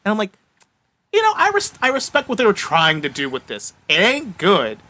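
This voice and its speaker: A man's voice: high voice, wildly swooping pitch, normal speed, sharp tone. A man co-hosting a podcast in casual film talk, with a theatrical manner and a habit of repeating himself as he builds to a point. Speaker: podcast co-host. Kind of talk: casual chat about films.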